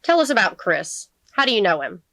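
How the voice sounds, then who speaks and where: A woman reading the part of a courteous male defense attorney, questioning a witness gently and formally as in a courtroom novel. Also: medium pitch, sing-song, fairly quick, dry recording. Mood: probing.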